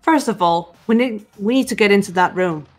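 A woman playing a composed, analytical teenage girl in a visual novel dub, speaking in a calm, matter-of-fact way. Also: medium pitch, brisk, lightly acted. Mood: decisive. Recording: background game music.

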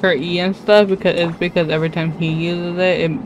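A woman's voice: on the low side, flat delivery, brisk, a little hiss or hum, poor audio. A woman livestreamer chatting casually and informally, rambling and thinking aloud as she plays. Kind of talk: casual game stream chat. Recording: game music behind the voice. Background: video game music.

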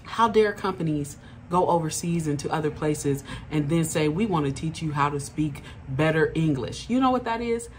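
A woman in her thirties, a diversity consultant telling a workplace story to camera from her living room, lively and emphatic, talking straight to the viewers. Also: low-pitched, normal speed, slight background noise. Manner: animated, addresses viewers as y'all, rising emphatic. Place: indoor living room at home. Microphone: webcam at arm's length.

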